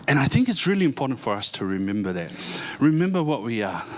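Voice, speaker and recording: A man, a pastor introducing himself to a church audience in a halting, heartfelt way with many pauses and restarts. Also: mid-range voice, very animated, slight background noise. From born in Samoa, grew up in New Zealand.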